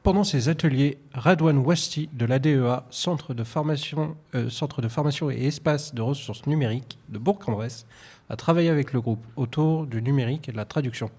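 A man radio presenter with a husky, dark-toned voice. He asks questions and introduces segments in a steady, measured announcing manner. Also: mid-range voice.

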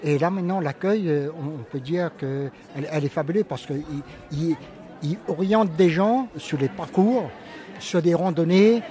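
A man from Réunion giving his opinion in a street interview, halting and searching for words. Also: a little high, mellow. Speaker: interviewed resident. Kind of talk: street vox pop.

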